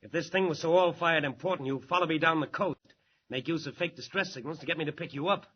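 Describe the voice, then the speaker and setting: An actor playing a stern, stubborn ship captain in a 1940s radio drama, gruff and defiant. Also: male voice, a little high, fast, lightly acted. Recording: dull old radio recording with faint hiss.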